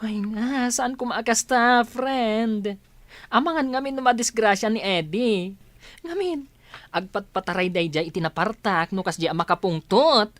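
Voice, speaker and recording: A woman's voice: medium pitch, unhurried, no room sound, close to an everyday voice. A woman with an Ilocano accent playing a worried, scolding friend in a radio drama, exclamatory and fretful. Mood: hesitant, worried. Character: worried, scolding female friend and confidante of the lovers.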